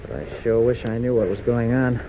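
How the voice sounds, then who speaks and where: An American actor playing a brave, level-headed young pilot hero in a 1940s radio drama, plain-spoken and take-charge. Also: male voice, mid-range voice, fairly even intonation, fairly quick, dull audio, natural voice. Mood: concerned, resigned. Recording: noisy 1940s radio recording.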